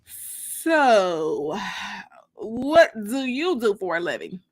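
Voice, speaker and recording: A woman's voice: mid-range voice, very animated, drawn-out words, heavily acted. A woman playing an eager, smitten young woman on a date in an audiobook, bashful and curious.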